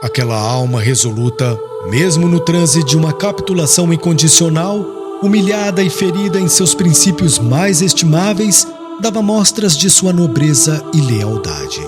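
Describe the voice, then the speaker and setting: A man reading a religious story in a solemn, expressive literary narration. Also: on the high side, moderate pace, hardly any pauses, over music. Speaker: audiobook narrator.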